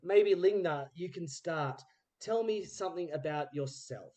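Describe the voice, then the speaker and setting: A man's voice: a little high, a little slow, mellow. A man hosting a podcast, interviewing guests in an informal, conversational way. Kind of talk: casual interview chat.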